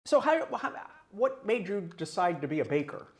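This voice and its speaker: A man from the US Upper East Coast hosting a casual interview show, chatty and quick to laugh. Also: sits high, big pitch swings. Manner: chatty, laughs easily, restarts often. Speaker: talk show host.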